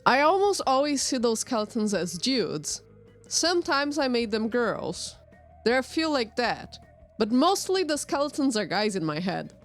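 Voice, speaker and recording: A woman's voice: on the high side, moderate pace, soft background music. Wry woman artist, native Portuguese speaker. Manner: relaxed, wry, self-deprecating. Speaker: artist and channel host. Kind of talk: voice-over narration over drawing timelapse.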